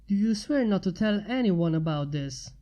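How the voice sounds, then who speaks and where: A woman's voice: medium pitch, expressive, normal speed, mellow, lo-fi sound, close to an everyday voice. A woman voicing a stressed, urgent woman writer with a secret in a story reading. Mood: anxious. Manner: urgent, hissing and pleading.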